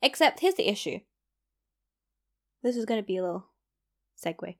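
A woman's voice: mid-range voice, very animated, moderate pace, fairly bright tone. A young woman from East London with a London accent, talking casually to the camera, self-deprecating and rambling with asides. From East London, England. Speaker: vlogger.

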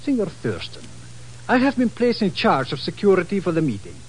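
High-voiced formal colonel. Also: male voice, wildly swooping pitch, husky, heavily acted. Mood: formal, skeptical. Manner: formal, courteous, measured wording. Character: formal, skeptical Portuguese colonel in charge of security. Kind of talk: old-time radio drama acting. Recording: slightly noisy vintage radio recording.

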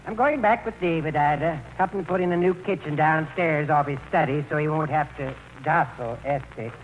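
An actor playing a meek older husband, mild and hesitant, with folksy phrasing in 1940s radio drama style. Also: male voice, on the high side, normal speed, close to an everyday voice. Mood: earnest, evasive. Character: meek, bewildered older husband seeking life's meaning. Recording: faintly noisy vintage radio recording.

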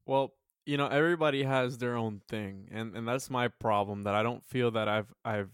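A man in his twenties hosting a podcast, talking earnestly and philosophically with many halting restarts. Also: medium pitch, smooth, no room sound, clean studio sound. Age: 20s. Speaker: podcast host. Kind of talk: casual podcast chat.

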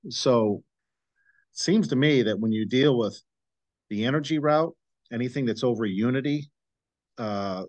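A man hosting a podcast in casual chat, speaking earnestly and haltingly with many fillers. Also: medium pitch, no echo. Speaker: podcast host. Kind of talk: casual conversational interview.

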